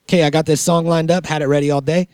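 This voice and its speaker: A man's voice: on the high side, fairly even intonation, quick pace, smooth, no room sound, clean studio sound. A man hosting a podcast in casual banter, with a laid-back, slangy and profane way of talking. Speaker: podcast host. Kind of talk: casual banter between co-hosts.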